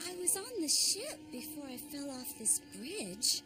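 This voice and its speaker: Loud music backs a very high, slow young woman's voice. She is a sweet, anxious cartoon heroine, wavering and dramatic. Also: big pitch swings, low-quality recording. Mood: troubled. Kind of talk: animated series voice acting.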